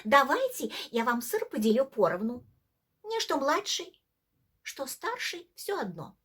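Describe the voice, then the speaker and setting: Woman voicing high, coaxing sly storybook fox. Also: very animated, fairly bright tone, exaggerated voice. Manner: coaxing, drawn-out, condescending reassurance. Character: sly, soothing female fox, falsely polite cheese divider. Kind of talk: theatrical bedtime folk-tale reading.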